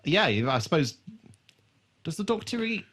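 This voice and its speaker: A man with a bright, forceful voice hosts a casual, joking podcast chat. His pitch swings around in a lively way, and he swears freely and laughs often as he talks. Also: unhurried, slightly rough. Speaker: podcast host. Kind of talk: casual comedic chat about a TV show.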